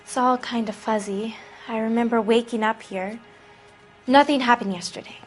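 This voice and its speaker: Woman playing a high-voiced, frightened teenage runaway girl. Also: fairly even intonation, moderate pace, soft background music, natural voice. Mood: groggy, uneasy. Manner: hesitant, plaintive, evasive. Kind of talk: video game acting.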